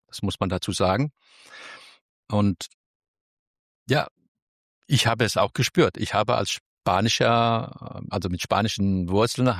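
A man's voice: fairly low pitch, dry recording, studio quality. A man of Spanish roots answering interview questions thoughtfully, choosing his words carefully with frequent restarts. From Spain. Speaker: interview guest.

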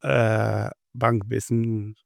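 A young man from Syria, answering interview questions in a halting way and pausing often to find his words. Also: lowish voice, little pitch movement, creaky, no room sound, clean studio sound. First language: Arabic. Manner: halting, searching for words with many pauses. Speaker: interview guest, bank customer adviser.

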